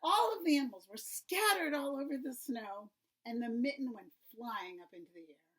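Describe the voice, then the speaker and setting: A woman reading a children's story aloud, expressive and often dropping to a hushed, breathy tone. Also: high voice, normal speed.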